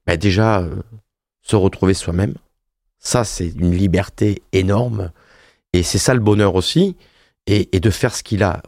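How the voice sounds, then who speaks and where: Hesitant low-voiced man in his fifties. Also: a little slow, long pauses, darkish tone, dry recording, studio quality. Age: 50s. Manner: hesitant, many fillers and restarts. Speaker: author and wine journalist. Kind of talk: radio interview.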